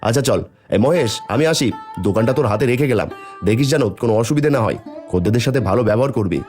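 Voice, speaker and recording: Cartoon part: honest, trusting village father, earnest, brisk, swinging pitch, faint music underneath male actor. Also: lively intonation, close to an everyday voice. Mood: kindly, trusting. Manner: earnest, gentle, plaintive when wronged. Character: kind, trusting poor village shopkeeper and father. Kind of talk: cartoon dialogue acting.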